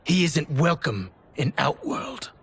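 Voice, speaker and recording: An actor playing a scornful, menacing soldier in a video game, commanding and threatening. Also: male voice, a little high, wildly swooping pitch, slow, fairly bright tone, husky, exaggerated voice. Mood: cold threat. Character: menacing, scornful male soldier loyal to a plotting general. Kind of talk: dramatic video game villain acting.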